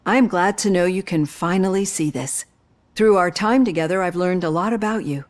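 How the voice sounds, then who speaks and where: A woman playing a composed, caring psychologist in her fifties in a video game, measured and gently probing. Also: fairly low pitch, natural voice. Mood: warm, reflective. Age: middle-aged.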